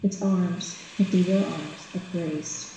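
Very echoey, mellow woman narrator. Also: lowish voice, fairly even intonation, slow pace. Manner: solemn, literary storytelling. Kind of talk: dramatic scripted radio play narration. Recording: dull, very echoey, noisy background.

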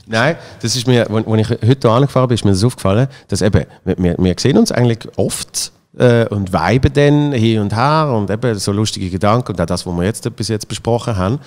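A man in his thirties with a Swiss German accent hosts a casual chat in a fairly low voice. He tells anecdotes with frequent restarts into a studio boom mic, and the room adds a long reverb tail. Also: brisk, few pauses, darkish tone. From Switzerland. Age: 30s. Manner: chatty, anecdotal, restarts often. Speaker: podcast host. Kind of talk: casual podcast conversation. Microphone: boom-arm studio mic.

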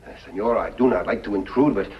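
A man's voice: lowish voice, quick pace, natural voice. An actor playing a humble, hesitant Mexican man with a Mexican accent in an old television western. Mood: humbly polite. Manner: polite, hesitant, obsequious. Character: Mexican peasant man in disguise, humble, deferential, halting. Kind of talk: 1950s television western acting. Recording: muffled, noisy old television soundtrack.

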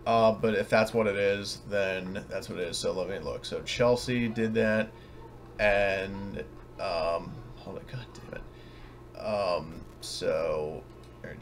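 A man narrating a tabletop game as game master in a casual, halting, self-correcting way. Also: lowish voice. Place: at home, online voice session. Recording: clearly noisy home recording.

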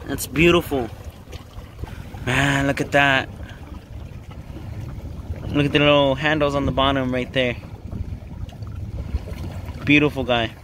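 A man's voice: fairly high pitch, a bit bright, poor audio. Upbeat young man narrating fishing, windy. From Texas. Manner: upbeat, exclaims over each catch, addresses viewers as guys. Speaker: fishing vlogger. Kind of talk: casual running commentary while fishing. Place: outdoors on a rocky jetty by open water. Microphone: body-worn action camera. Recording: wind noise outdoors. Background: wind and water.

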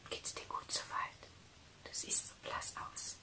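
A woman in her thirties playing a caring survivor who tends a wounded companion in a hushed, soothing, urgent ASMR roleplay. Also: unhurried. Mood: concerned, gentle.

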